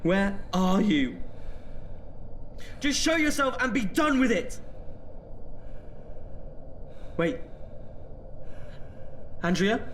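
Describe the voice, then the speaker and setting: An actor playing a frightened man alone in the dark, fearful and agitated, in an audio horror drama. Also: high-pitched, slight background noise. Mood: panicky. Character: terrified male experiment subject alone in the dark.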